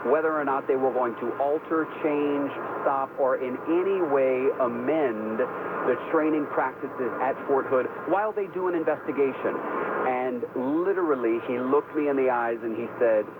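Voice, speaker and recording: A man's voice: medium pitch, normal speed. A man giving an emphatic, pointed field report as a news correspondent. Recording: tinny noisy radio feed.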